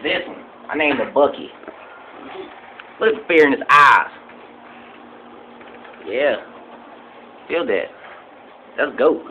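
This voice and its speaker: A man playing a boastful, broke rural hunter who shows off his trophies in a rambling, deadpan way. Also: medium pitch, slow, long pauses, muffled recording, a little hiss or hum. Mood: bragging. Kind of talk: home comedy sketch.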